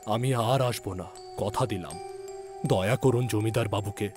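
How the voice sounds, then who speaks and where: A man playing an earnest, devoted village physician who speaks in a deliberate, pleading way in a dramatised story. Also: fairly low pitch, music in the background, lightly acted. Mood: humble pleading.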